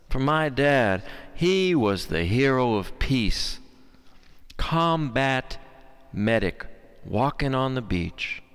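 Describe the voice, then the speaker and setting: An American man reading poetry with deliberate, dramatic phrasing and weighty pauses. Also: lowish voice, fairly bright tone, clean tone. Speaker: poet. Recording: long echoing hall reverb.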